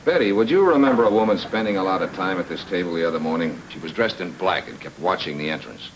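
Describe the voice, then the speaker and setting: A man playing a wisecracking, deadpan private detective in a film noir radio drama. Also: low-pitched, fast. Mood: brisk, businesslike. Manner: deadpan, sardonic. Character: wisecracking hard-boiled male private detective. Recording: noisy vintage radio recording.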